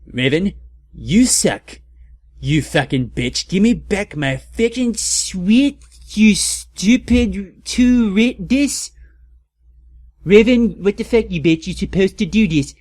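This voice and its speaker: A man's voice: high voice, expressive, slow pace, darkish tone, no room sound, studio quality, pitched above the performer's own voice, exaggerated voice. A man voicing a bratty, foul-mouthed, belligerent teenage girl who writes fan fiction, in a comedic reading. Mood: furious. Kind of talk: comedic dramatic reading of author's notes.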